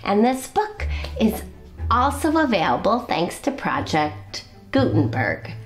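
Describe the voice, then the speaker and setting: Middle aged lady doing expressive storytime for little kids, echoey room, music behind. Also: mid-range voice. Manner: expressive, deliberate, explains words for young listeners. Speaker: children's storytime host. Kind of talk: read-aloud picture-book storytelling for children. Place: small indoor classroom-style set.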